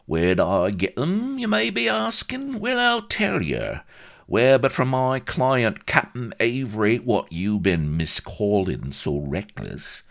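High, indignant Cockney agent. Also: male voice, huge pitch swings, slow, vocal fry, fairly bright tone, exaggerated voice. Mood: smug. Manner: indignant, wheedling, mock-respectful. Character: wily, prim, self-pitying Cockney business agent.

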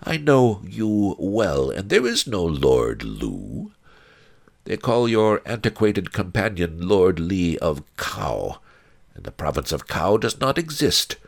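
A monstrous old matriarch, cold and tyrannical, barking clipped orders in a slow, gravelly voice with a lively, swooping delivery, as a male storybook narrator puts her on. Her tone is menacing and commanding throughout. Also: low voice, voice pushed up, exaggerated voice.

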